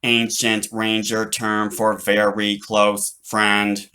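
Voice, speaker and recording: A man voicing a dutiful robot servant in a children's story, reciting in a slow, deliberate, literal way. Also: lowish voice, monotone, sharp tone. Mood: flat, dutiful.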